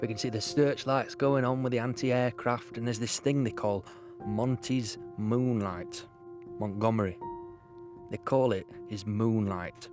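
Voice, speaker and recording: An actor playing a young British soldier, earnest and shaken, recalling the war haltingly in an audio drama. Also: male voice, medium pitch, over music, lightly acted. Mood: uneasy, reflective. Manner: halting, plain-spoken, reflective. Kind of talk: audio drama monologue, conversational recollection.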